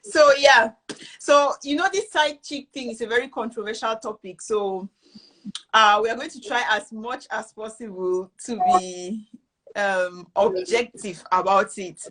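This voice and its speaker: A woman's voice: a little high, unhurried, a bit bright, low-quality recording. Playful Cameroonian woman host. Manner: playful, teasing, mixes in pidgin. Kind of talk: casual chat show.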